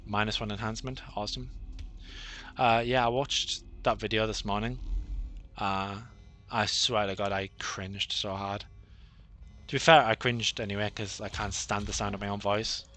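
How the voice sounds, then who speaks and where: A man giving rambling, self-deprecating commentary over his own gameplay, with many fillers and a flat, monotonous delivery. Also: fairly low pitch, moderate pace. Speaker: gaming channel host.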